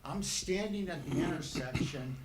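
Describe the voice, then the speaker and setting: A man's voice: fairly high pitch, heavy background noise. Earnest man with a Chicago accent. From Northern United States. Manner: earnest, self-deprecating, deliberate. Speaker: neighbor speaking against a land development. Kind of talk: public hearing testimony.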